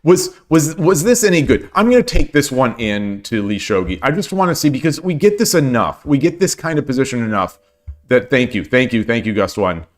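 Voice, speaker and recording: A hoarse man talks through his shogi games on a live stream, pitched in the ordinary middle range. He muses aloud and repeats his own phrases as he works out each position. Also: big pitch swings, brisk. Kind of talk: casual game commentary.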